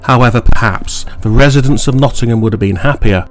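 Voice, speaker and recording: A man reading a scripted local history documentary in a measured, formal way. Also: mid-range voice, soft background music. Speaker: documentary narrator.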